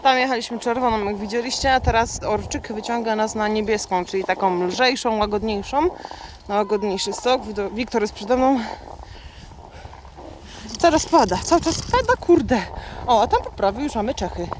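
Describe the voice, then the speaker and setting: A young woman from Poland chatting to her viewers on a night ski slope. She jokes and talks excitably into a phone held at arm's length. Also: on the high side, moderate pace. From Kalisz, Poland. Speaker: vlogger. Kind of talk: casual vlog chat to viewers. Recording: noisy outdoor phone audio, wind and snow.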